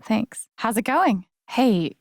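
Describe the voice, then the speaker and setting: An actress playing a friendly, wry and earnest young woman in a video game, talking in a casual, gently teasing way. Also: a little high, lively intonation, takes it slowly, no echo, studio quality. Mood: friendly, curious. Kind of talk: naturalistic video game dialogue acting.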